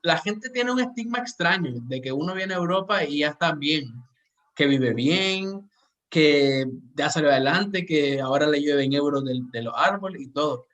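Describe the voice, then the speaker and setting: A man's voice: on the high side, lively intonation, normal speed, fairly bright tone. A man with a Central American Spanish accent, hosting a podcast and talking earnestly and reflectively, often restarting his sentences. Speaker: podcast host. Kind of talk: casual podcast conversation.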